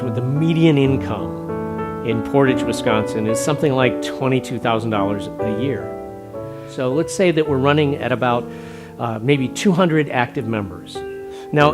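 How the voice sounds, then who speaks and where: A man preaching a stewardship sermon as pastor, measured and plain-spoken, working through numbers aloud. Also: mid-range voice. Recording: music bed underneath.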